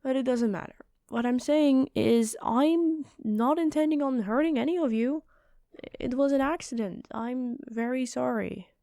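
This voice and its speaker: A kind giant woman, as a voice actress plays her in a fantasy ASMR roleplay. Her voice sits fairly high and is recorded dry, without room sound. She talks courteously and earnestly, with an apologetic, slightly hesitant manner. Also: a little slow, warm tone, no room sound. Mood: earnest, defensive.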